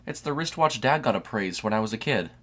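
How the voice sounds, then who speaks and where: A man voicing a grieving, wistful sister in an audio story, speaking in a hesitant, halting way. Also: lowish voice, animated, fairly bright tone. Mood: recalling, hesitant. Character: grieving young woman, wistful sister reminiscing.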